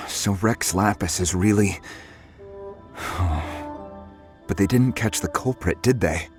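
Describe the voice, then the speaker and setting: A deep, slow, gloomy townsman. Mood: mournful. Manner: sighing, hesitant. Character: gloomy, suspicious male townsman, grumbling. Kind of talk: video game voice acting. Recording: prominent background music.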